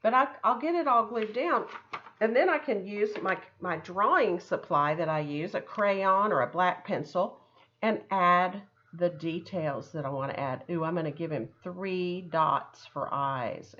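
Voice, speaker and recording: A woman's voice: low voice, fairly bright tone, highs cut off. A woman art teacher talking children through a craft step by step in a chatty, encouraging, casual way. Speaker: art teacher giving a demonstration.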